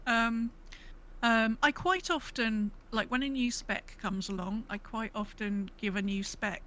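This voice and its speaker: A woman's voice: fairly high pitch, unhurried, bright, forceful tone, rough recording. A woman chatting casually as a podcast co-host, rambling and thinking aloud. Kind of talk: casual gaming podcast chat.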